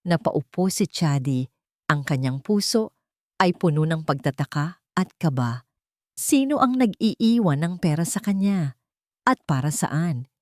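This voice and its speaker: A woman's voice: sits low, warm tone, no echo, clean studio sound. A woman reading a scripted romance drama as a storyteller. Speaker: story narrator.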